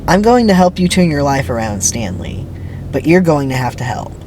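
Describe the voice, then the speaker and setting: Someone doing an earnest, preachy camp counselor with animated pitch. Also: moderate pace, noisy background, lightly acted. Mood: earnest, encouraging. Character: Pendanski, earnest, falsely friendly adult male camp counselor. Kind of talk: dramatic script reading.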